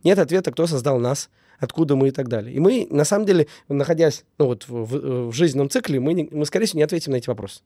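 A man's voice: fairly high pitch, expressive, quick pace, mellow, no room sound, clean studio sound. A man with a slight cold speaking casually and reflectively as an interview guest, emphatic, repeating phrases in lists. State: getting over a cold. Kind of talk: casual reflective talk on relationships.

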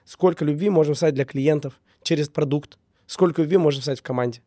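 A man's voice: a little high, fast, dark tone, no echo, studio quality. A man getting over a cold, a business owner talking earnestly in a casual conversation and repeating words for emphasis. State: recovering from a cold.

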